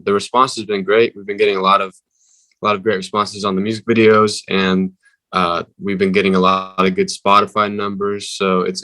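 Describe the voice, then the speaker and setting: A young male musician with a deep voice, answering interview questions haltingly with many fillers. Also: fairly bright tone, no room sound, rough recording. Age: young adult. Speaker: musician guest.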